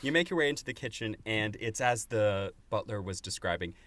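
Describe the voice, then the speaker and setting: A man's voice: fairly low pitch, expressive, fairly quick, a bit bright. A man narrating a tabletop game as game master. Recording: music playing behind.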